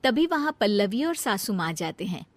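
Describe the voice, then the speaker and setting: A woman narrating a cartoon moral story in a plain, even storytelling manner. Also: mid-range voice, normal speed, no echo, clean studio sound.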